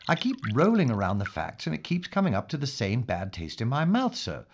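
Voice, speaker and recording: A man's voice: medium pitch, wildly swooping pitch, brisk, creaky. A man playing a methodical, guarded wartime London police inspector in an audio drama, speaking formally and with clinical care. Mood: hesitant, uneasy. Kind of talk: period audio drama acting. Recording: dull recording with faint noise.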